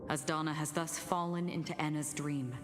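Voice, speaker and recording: Video game role: grave, resolute female warrior played by a woman, low measured voice, long reverb with music behind. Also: steady tone, lightly acted. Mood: solemn, resolute. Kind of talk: scripted video game dialogue.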